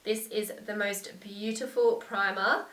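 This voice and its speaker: A young woman talks through her favourite beauty products with gushing enthusiasm, her voice nasal and stuffed up from a lingering cold. Her tone is bright, and she sits a little back from the mic in an echoey room. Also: medium pitch, steady tone, a little slow, far from the mic. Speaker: beauty vlogger. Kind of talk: casual product review to camera.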